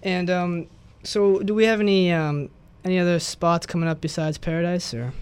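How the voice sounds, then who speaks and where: A man's voice: sits high, steady tone, normal speed, a little mellow, smooth. A man hosting a radio interview, chatting casually and enthusiastically with a musician guest. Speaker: radio host.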